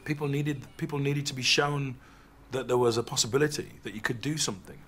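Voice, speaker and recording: A man speaks in a documentary interview, reflecting on the past in a living room. His voice is husky. He is relaxed and talkative. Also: mid-range voice, a little fast, faint music behind. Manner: reflective, mimics voices when quoting. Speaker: interviewee, comedian.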